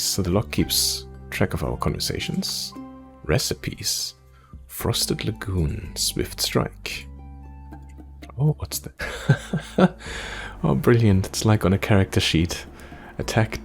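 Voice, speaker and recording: Low, creaky-voiced man commenting on a game. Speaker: video game player and commentator. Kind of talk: casual gameplay commentary.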